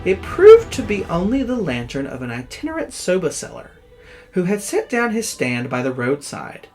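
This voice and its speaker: Theatrical man with swooping, animated delivery. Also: fairly high pitch. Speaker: horror story narrator and host. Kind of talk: dramatic scripted narration.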